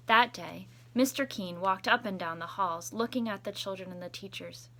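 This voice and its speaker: A woman's voice: mid-range voice, normal speed, pushed and bright, slight background noise. A woman reading a children's picture book aloud expressively, adding chatty asides as a school storytime reader.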